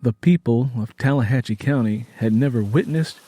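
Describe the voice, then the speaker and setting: A man tells a dramatic story as its narrator, in a dark, mellow voice of medium pitch. His delivery is solemn and measured, with music playing underneath. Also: no room sound.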